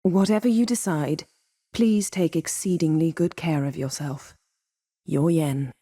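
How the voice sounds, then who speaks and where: Haughty sorceress for a fantasy game: lowish female voice, imperious, cutting, wry and formal, guarded yet devoted; very dry studio sound. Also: measured pace, dark tone, breathy edge, no echo. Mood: composed, earnest. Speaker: video game character. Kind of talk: acted video game dialogue.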